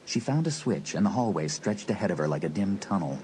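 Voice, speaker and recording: A male narrator reads scripted horror fiction in a low, deep voice. It is an audiobook delivery, with faint noise on the recording. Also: big pitch swings, brisk, darkish tone, rough recording.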